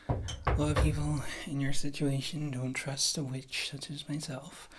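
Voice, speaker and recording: A woman playing a wry witch healer in an ASMR fantasy roleplay, speaking softly and often slipping into a whisper, in a rambling, casual, reassuring way. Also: a bass voice, slightly rough, exaggerated voice. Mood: chatty, reassuring. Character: wry, thrifty witch healer, a former adventurer.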